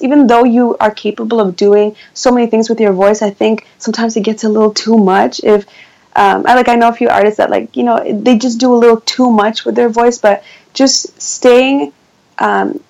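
A woman with a soft voice, talking in a casual interview as a guest singer, hesitant and full of fillers. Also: a little high, creaky, rough recording. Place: near her piano.

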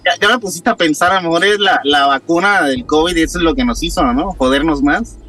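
A man's voice: a little high, fast, sharp tone, slight background noise, poor audio. A man talking in casual podcast chat.

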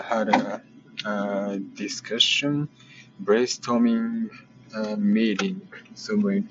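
Tired Japanese-accented man, slow and hesitant. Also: lowish voice. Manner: hesitant, many fillers and self-corrections. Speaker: vlogger. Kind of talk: casual spoken diary. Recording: muffled lo-fi with background noise.

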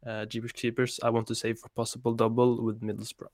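A man's voice: medium pitch, monotone, fast, darkish tone, dry recording, clean studio sound. A man whose first language is Norwegian, co-hosting a casual podcast chat, hesitant and full of "like". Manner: hesitant, repeats words, says "like" a lot. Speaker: podcast co-host.

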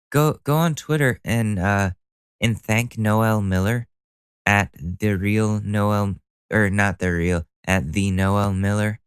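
A man's voice: lowish voice, clean tone, no room sound. A young man hosting a solo podcast, talking casually with a stuffy nose, rambling and joking at his own expense with the odd swear word. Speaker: podcast host.

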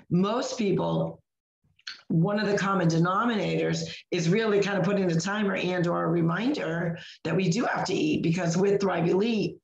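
A hoarse woman, co-hosting a chatty podcast and often correcting herself mid-sentence. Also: lowish voice, reverberant, dull audio. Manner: rambling, self-correcting. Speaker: co-host of a wellness podcast. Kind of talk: casual chat.

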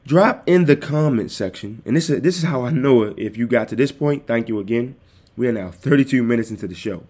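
A man hosting his own podcast, talking casually and directly to his listeners in a calm, soothing voice into a desktop microphone. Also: mid-range voice, fairly quick. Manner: easygoing, addresses listeners directly. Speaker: podcast host. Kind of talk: casual solo podcast talk. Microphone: desktop USB microphone.

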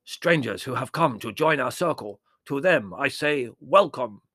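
A pompous séance medium acted out by a male narrator, raised high in pitch and solemnly theatrical. She intones with a put-on Indian accent and drawn-out, incantatory words, as in a storybook. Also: expressive, pushed and bright, dry recording, clean studio sound, exaggerated voice. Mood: solemn trance.

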